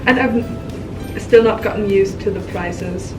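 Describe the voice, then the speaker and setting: A woman's voice: medium pitch, slow, far from the mic, music in the background. A young woman from Germany, an exchange student, chatting casually. She is chatty and laughs as she talks. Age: young adult. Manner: laughs through her words, chatty. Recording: echoey room, voice off the mic.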